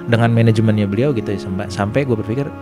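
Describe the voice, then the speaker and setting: A man's voice: fairly low pitch, rapid-fire, music in the background. A man with a Jakarta Indonesian accent telling his own story in a casual, slangy podcast conversation.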